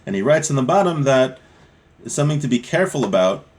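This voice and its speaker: A man teaching from a religious law book, reading each passage in Hebrew and then explaining it in an easygoing, conversational way. His voice sits at an ordinary middle pitch for a man. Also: lively intonation, fast, darkish tone. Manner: reads Hebrew aloud then translates in casual speech. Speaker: Torah teacher.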